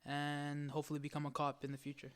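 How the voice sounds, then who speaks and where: A man's voice: fairly high pitch, completely flat delivery, quick pace, slight background noise. A man in his early twenties chatting casually as a guest on a podcast. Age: early 20s. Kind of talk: casual chat.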